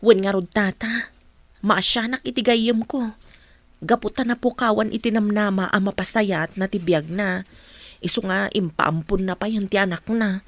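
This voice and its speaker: A woman's voice: medium pitch, moderate pace, no echo, natural voice. An actress playing a loyal, outspoken woman in a radio drama, speaking earnestly and emphatically on behalf of her friend. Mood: earnest, concerned.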